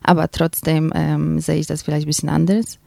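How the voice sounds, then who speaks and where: A woman's voice: deep voice, level tone, a little fast, vocal fry, dark tone, no room sound, clean studio sound. A woman from Poland with a Polish accent, a festival organizer being interviewed, speaking hesitantly with many fillers. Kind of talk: radio interview.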